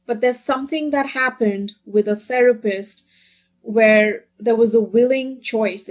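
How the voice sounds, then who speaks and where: Woman guest on a podcast, kinda high voice, earnest, laughs a lot while chatting. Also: highs cut off. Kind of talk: casual conversational interview.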